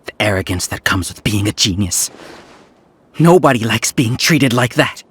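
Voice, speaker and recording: A bitter, resentful scholar. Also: male voice, fairly low pitch, animated, normal speed, a bit bright, slightly rough, exaggerated voice. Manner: bitter and defensive. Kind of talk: game voice acting.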